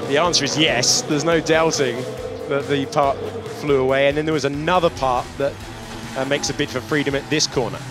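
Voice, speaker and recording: A man's voice: mid-range voice, steady tone, a little fast, over music. A man delivering live motorsport commentary as a race commentator.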